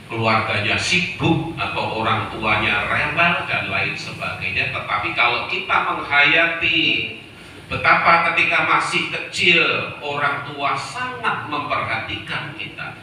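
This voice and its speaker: A middle-aged man from Solo, giving a Confucian sermon in a storytelling way, slowing down to stress key words. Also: medium pitch, pushed and bright, slight background noise. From Solo, Central Java, Indonesia. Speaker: Confucian preacher. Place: temple hall. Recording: echoey hall, voice from far off.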